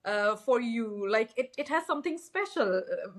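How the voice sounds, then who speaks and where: A woman's voice: on the high side, expressive, normal speed. A woman hosting a podcast interview, asking questions hesitantly with many fillers. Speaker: podcast host.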